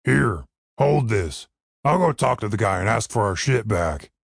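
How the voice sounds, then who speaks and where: A man voicing a crude, slow-witted, shameless male half-orc in a fantasy audiobook, with a gravelly, husky voice. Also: on the low side, expressive, no room sound, studio quality, exaggerated voice. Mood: nonchalant.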